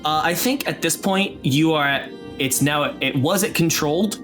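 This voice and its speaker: A man's voice: a little high, normal speed, music in the background. A man narrating a tabletop role-playing game as game master in a casual style. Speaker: game master and narrator of an actual play podcast.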